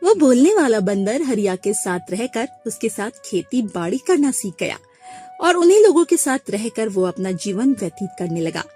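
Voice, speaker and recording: A woman narrating a scripted moral tale as an expressive storyteller. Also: fairly high pitch, moderate pace, faint music behind.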